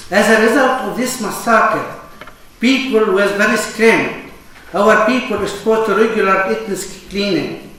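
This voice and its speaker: Halting man speaking in an echoey hall. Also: sits high, bright, forceful tone. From Lebanon. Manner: halting, many fillers and restarts. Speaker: panelist, association chairman. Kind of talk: panel debate statement. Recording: echoey hall, distant mic, faint noise.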